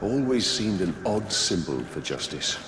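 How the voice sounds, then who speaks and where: With clear noise behind him, a dutiful fantasy game soldier. His speech is grave and formal, with lively movement in pitch. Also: measured pace. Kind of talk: video game character acting.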